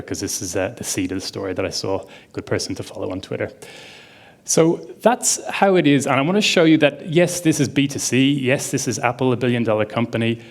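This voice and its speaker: Conference speaker, male, low-ish voice, casual and enthusiastic, filler-heavy. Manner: chatty and enthusiastic, lots of "like". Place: conference stage before an audience.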